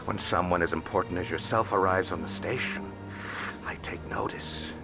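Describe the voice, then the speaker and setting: A man's voice: low voice, normal speed. A man playing a shrewd, courteous alien financier in a video game, speaking formally and discreetly over music. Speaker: financial advisor and information dealer character. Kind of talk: video game dialogue acting. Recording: music behind the voice.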